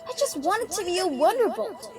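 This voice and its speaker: Woman as brash young female pony, proud, upset, animated, audio drama, loud music. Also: very high-pitched, normal speed. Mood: defiant, upset. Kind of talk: fan audio drama acting.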